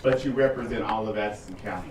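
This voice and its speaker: A man's voice: fairly low pitch, quick pace, far from the mic. A man who directs a youth club asks a question at a county meeting, hesitant with many fillers. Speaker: boys and girls club director. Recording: echoey room from afar, loud noise.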